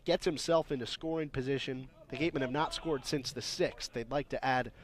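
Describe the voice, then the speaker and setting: A man's voice: medium pitch, fairly quick. A man giving conversational live radio analysis as a baseball color commentator.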